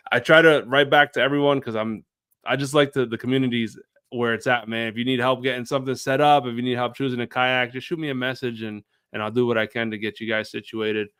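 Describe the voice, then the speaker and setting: A man's voice: medium pitch, fairly even intonation, fast, fairly bright tone, clear, resonant tone, no room sound, studio quality. A man speaking informally as a guest on a show, a video creator explaining things in casual chat.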